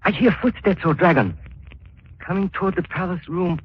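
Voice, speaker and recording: An actor playing an excitable henchman of a crime lord in 1940s radio thriller style. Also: high voice, normal speed, slightly rough. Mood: urgent, deferential. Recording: dull lo-fi 1940s radio recording.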